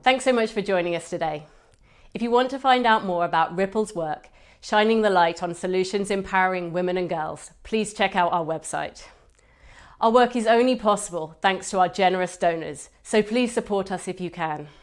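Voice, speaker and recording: A woman's voice: mid-range voice, moderate pace. A woman from an organization giving a friendly, appreciative scripted closing appeal. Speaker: organization representative.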